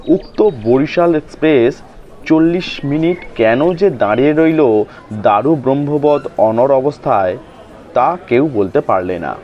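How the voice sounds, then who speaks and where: Male audiobook narrator, measured literary delivery, mid pitch, lo-fi audio. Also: a little mellow. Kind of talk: audiobook narration of a short story.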